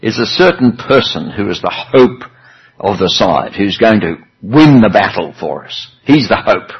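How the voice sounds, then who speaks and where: A man's voice: fairly low pitch, animated, unhurried, breathy edge, lo-fi sound. A man preaching a sermon in a church hall, talking in a chatty way with some self-deprecating humor.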